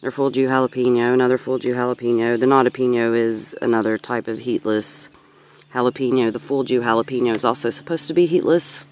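A woman's voice: rumbling low, steady tone, fairly quick, a little mellow, a little hiss or hum. A woman in her thirties giving a casual, rambling vlog-style tour as a gardener, recorded outdoors on a handheld camera. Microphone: handheld camera or phone.

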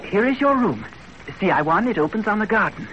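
An actor playing an eager, polite young Japanese host, hesitant and courteous, in 1930s radio drama style. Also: male voice, high voice, big pitch swings, fairly quick, a bit bright, highs cut off, slight background noise. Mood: welcoming. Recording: old 1930s radio recording, music behind.

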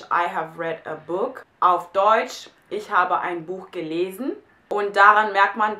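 Twenties German-native woman vlogger, chatty and quick, bright tone, roomy bedroom sound. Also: mid-range voice. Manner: chatty, quick, with fillers and restarts. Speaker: language vlogger. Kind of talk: casual explainer vlog to camera. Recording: echoey room.

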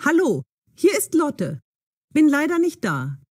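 A breezy teenage girl on her voicemail in an audio drama. Her voice is high and swings up and down in a very animated, chirpy way. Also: measured pace, dry recording, studio quality, heavily acted. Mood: breezy, cheerful. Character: breezy fifteen-year-old girl on her voicemail greeting.

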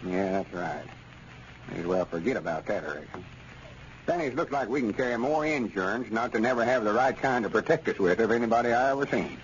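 An actor playing an earnest old country storekeeper with a rural Southern accent and folksy talk, in old radio comedy style. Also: male voice, lowish voice, lively intonation, a little fast, lightly acted. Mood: rueful. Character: earnest, plain-spoken old country storekeeper, proud but forgiving. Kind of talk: 1940s radio comedy acting. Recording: dull, noisy old radio recording.

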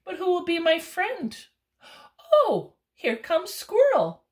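A small raccoon from a children's storybook, voiced high and bright with big swoops of pitch, as a woman puts it on. It talks slowly and eagerly, and every line is stretched out for young listeners. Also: huge pitch swings, heavily acted. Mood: earnest. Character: sad, earnest young raccoon.

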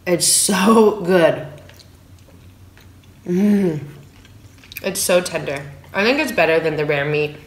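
A woman's voice: lowish voice, takes it slowly, long pauses. Chatty woman eating at home, echoey room. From San Francisco, USA. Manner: relaxed, chatty, talking between bites of food. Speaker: food vlogger. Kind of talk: casual eating vlog. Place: small room at home, indoors at a dining table. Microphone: phone camera on the table.

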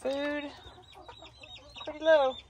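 A woman's voice: high voice, little pitch movement, very slow, darkish tone, slight background noise. An older woman chatting casually as she checks on her backyard chickens, greeting the hens in a sing-song voice. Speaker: backyard chicken keeper, vlogger. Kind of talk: casual walk-through chat. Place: outdoors at a backyard chicken coop. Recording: outdoor recording with clear clucking hens. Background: chickens clucking.

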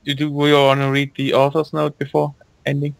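A man's voice: on the high side, completely flat delivery, brisk, gravelly, no room sound. Wry, chatty young man on voice chat. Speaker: gamer on a voice call. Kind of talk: casual chat while gaming.

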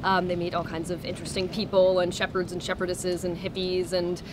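A woman's voice: fairly low pitch, level tone, fairly quick. A woman in her thirties giving an informal interview as a director, outdoors by a parking area. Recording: clear outdoor traffic noise.

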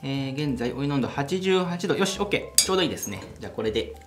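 A man's voice: medium pitch, very animated, very fast. A young man hosting a coffee channel, chatting enthusiastically as he demonstrates brewing in a small home café room. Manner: enthusiastic, chatty, laughs while explaining. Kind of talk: casual how-to demonstration. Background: background music.

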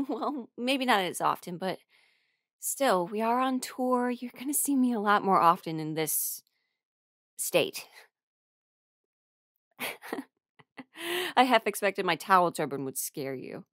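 A woman playing a friendly, teasing young musician in an audio roleplay, speaking in a casual, halting, intimate way. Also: medium pitch, expressive, fairly bright tone, no room sound, studio quality. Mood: playful, casual. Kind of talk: intimate conversational roleplay acting.

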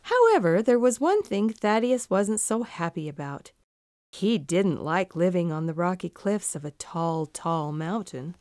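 Lively, unhurried woman reading a storybook. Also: on the low side, dry recording, clean studio sound. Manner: expressive, deliberate storytelling. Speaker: narrator. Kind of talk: children's storybook narration.

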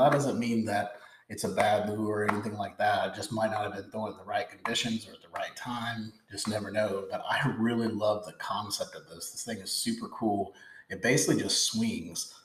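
A man's voice: fairly low pitch, reverberant, a little hiss or hum, low-quality recording. A man in his forties hosting a fishing channel, chatting eagerly as he unboxes lures and often repeats himself. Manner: enthusiastic, chatty, repeats words. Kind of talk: casual product unboxing. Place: indoor room with logo backdrop.

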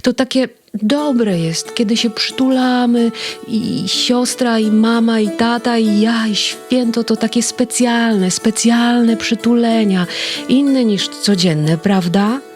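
A woman's voice: fairly high pitch, takes it slowly, a little mellow, soft background music, pitched above the performer's own voice. A woman voicing a small, eager, affectionate girl in a children's storybook, with a sing-song, pleading manner. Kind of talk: storybook reading.

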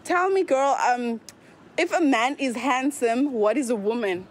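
A woman interviewing people on the street, upbeat and quick with her questions and thanks. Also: high-pitched. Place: outdoors on a street. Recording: street recording with faint noise.

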